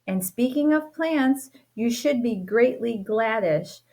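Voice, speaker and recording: A teacher reading a rhyming children's book aloud, playful and expressive, with a sing-song lilt. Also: female voice, a little high, slow. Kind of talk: storybook read-aloud.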